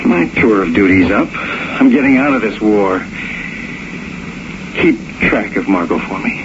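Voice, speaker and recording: An actor playing a hotheaded, lovesick young combat pilot in a 1970s radio drama, speaking informally and impatiently. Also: male voice, lowish voice, expressive, measured pace. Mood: insistent, impatient. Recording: muffled, noisy 1970s radio recording.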